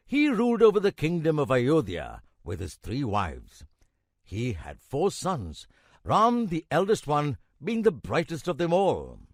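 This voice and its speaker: A man playing a kindly old grandfather in a children's cartoon, narrating a legend in a measured, expressive way with emphatic pauses. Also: medium pitch, no echo, studio quality. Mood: patient storytelling.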